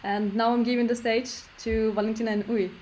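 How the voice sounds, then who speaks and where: A woman organizer introducing an online meetup, speaking briskly with halting "uh" fillers. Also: on the high side, flat delivery, a little mellow, a little hiss or hum, low-quality recording. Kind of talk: event introduction.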